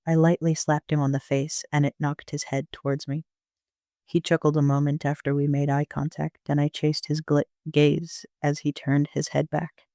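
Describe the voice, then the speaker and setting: Guy reading his own story, bit creaky, pretty monotone, first person narration, really dry close sound. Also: on the high side, moderate pace, dry recording. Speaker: amateur author and narrator.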